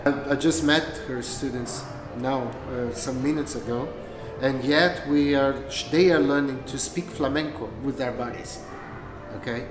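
A middle-aged man from Brazil, a flamenco guitarist talking in a relaxed interview. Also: mid-range voice, a little slow. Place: dance studio, indoors. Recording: echoey hall with music behind.

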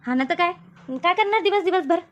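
A woman's voice: extremely high pitch, animated, fairly quick, a bit bright, highs cut off. A woman voicing a chatty village woman in a comic cartoon, with a rural Maharashtrian Marathi accent and colloquial, repetitive talk. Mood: wistful. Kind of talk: animated comedy dialogue.

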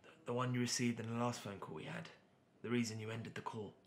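A man's voice: medium pitch, fast talker, slight background noise, lightly acted. A man playing an obsessive, lovelorn artist in an audio drama, speaking hesitantly and with awkward politeness. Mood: awkward, polite. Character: nervous, obsessive lovelorn male artist narrating his longing. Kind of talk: dramatic monologue and phone dialogue.

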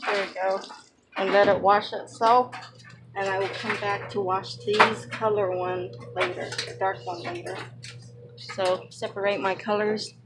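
Slow-talking woman over loud running water. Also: medium pitch, level tone. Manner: slow, step-by-step explaining while working. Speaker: vlogger. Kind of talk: casual how-to narration. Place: small laundry room at home, indoors. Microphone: handheld phone camera, close. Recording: phone video with loud water noise. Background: loud running water from the washing machine.

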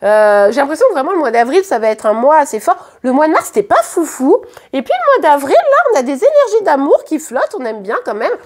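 A woman with a French accent and a high voice reads tarot cards to camera in a room at home. Her voice is a little hoarse, and she talks in a loose, chatty way, often stopping to restart a thought or fill a pause. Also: very animated, a little mellow. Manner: chatty, hesitant, many fillers and restarts. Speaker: tarot card reader. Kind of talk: casual tarot reading to camera. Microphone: camera at the reading table.

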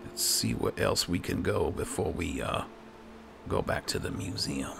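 A man with a very creaky, low voice mutters his way through casual game commentary. His pitch moves around a lot, and there is clear noise behind him. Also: animated, measured pace. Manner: muttering to himself, thinking aloud. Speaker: gamer narrating a playthrough.

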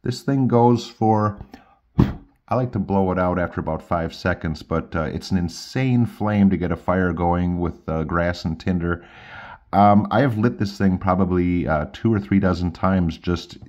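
Slightly dull lo-fi recording, middle-aged man, very deep pitch, casual gear review, indoor tabletop demonstration. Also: a little slow, a little mellow.

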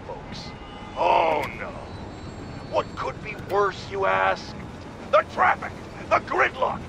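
A man's voice: very high-pitched, full character voice. An actor playing an irascible, self-important male radio pundit in a video game, bombastic and sarcastic as he rants to his listeners. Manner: bombastic, sarcastic, exaggerated indignation. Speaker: radio talk show host. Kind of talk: opinionated radio rant. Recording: clear background city noise from gameplay.

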